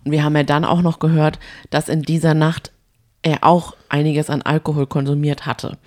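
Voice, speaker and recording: Woman, low voice, casual banter, reality show co-host, recapping episodes. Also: level tone, moderate pace, darkish tone.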